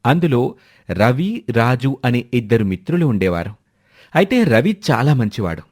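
Male storyteller, medium pitch, scripted children's moral tale narration. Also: a little mellow.

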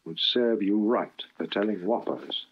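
A man's voice: fairly low pitch, lively intonation, a little slow. A man voicing a cheerful, sensible tank engine with dry wit in a children's storybook. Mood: firm. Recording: dull lo-fi archive recording.

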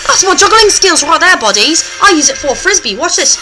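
A woman playing a boastful, goofy British male show host with an exaggerated British accent, presenting a comic vlog in a hammy, excitable, rambling way. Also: very high voice, a little fast, music in the background. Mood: excitable, silly.

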